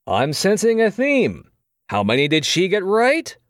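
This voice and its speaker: A man playing a villainous game show host in an audio drama, with drawn-out, teasing showman patter. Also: high voice, wildly swooping pitch, no echo, clean studio sound, heavily acted. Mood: gleeful, teasing. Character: showboating male villain game show host, toying with captives.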